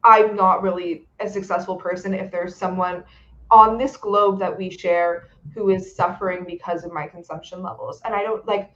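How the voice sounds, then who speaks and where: A woman's voice: fairly low pitch, steady tone, normal speed, a bit bright. A young woman on a video-call webcast, speaking earnestly with frequent "like" fillers. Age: young adult. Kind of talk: webcast discussion. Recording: dull lo-fi audio.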